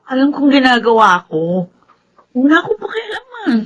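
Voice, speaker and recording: Swooping, scheming young Filipina. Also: female voice, high voice, very animated, normal speed, fairly bright tone, dull audio, exaggerated voice. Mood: defiant, dismissive. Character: defiant, scheming, wounded young woman plotting revenge. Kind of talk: Filipino radio drama acting.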